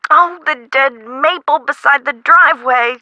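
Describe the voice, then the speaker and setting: A woman's voice: high-pitched, a little slow, bright, forceful tone, no room sound, exaggerated voice. A woman playing an anxious, flighty, chatty young friend in a video game, talking in an apologetic, emphatic way. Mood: nervous, urging. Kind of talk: video game phone dialogue.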